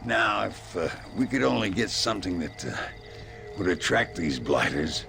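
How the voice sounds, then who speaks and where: Old western gunslinger, gravelly, drawl, wry, world-weary, very lively intonation, music behind. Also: male voice, low-pitched, moderate pace, exaggerated voice. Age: older. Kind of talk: western video game acting.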